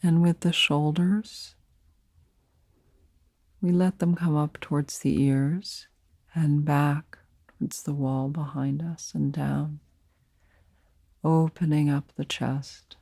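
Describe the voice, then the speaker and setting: A woman leading a guided meditation as a teacher, speaking softly and soothingly in short, unhurried phrases with pauses between them. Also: deep voice, steady tone, creaky, slightly rough, dry recording, studio quality.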